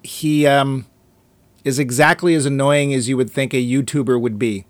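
A man's voice: medium pitch, steady tone, normal speed, no room sound. A man co-hosting a casual film review chat, joking and sarcastic as he talks. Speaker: film podcast co-host.

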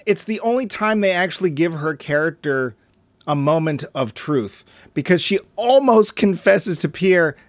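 A man's voice: on the high side, animated, normal speed, no room sound. A man co-hosting a casual film review chat, joking and sarcastic as he talks. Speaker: film podcast co-host.

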